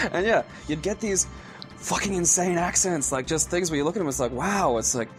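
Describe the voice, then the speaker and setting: A man's voice: high voice, animated, moderate pace, a bit bright, faint music behind. An Australian man co-hosting a podcast, chatting casually with sardonic, crude humor and frequent laughter. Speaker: podcast co-host. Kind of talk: casual chat.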